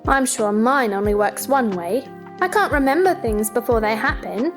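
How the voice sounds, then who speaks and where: A woman's voice: on the high side, lively intonation, moderate pace, music in the background, natural voice. A woman playing a polite, puzzled, sensible young girl in a theatrical children's storybook drama, earnest and questioning.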